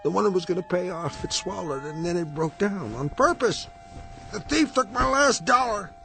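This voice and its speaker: A man's voice: high-pitched, lively intonation, moderate pace, vocal fry, sharp tone, soft background music, exaggerated voice. A man acting in a radio drama as an obsessed, agitated husband and gambler who mutters and rants. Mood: frantic, accusing. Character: obsessed, raving husband gambler fixated on a slot machine.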